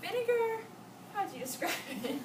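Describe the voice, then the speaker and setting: A woman reading a storybook aloud to children in an expressive way, pausing to ask questions and echo their answers. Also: very high-pitched. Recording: echoey, noisy room, distant mic.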